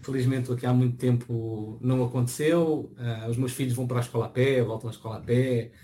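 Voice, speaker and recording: A middle-aged man from Portugal with a European Portuguese accent, answering interview questions in a relaxed way, often hesitating and restarting his sentences. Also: mid-range voice, fast. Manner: hesitant, many restarts and fillers.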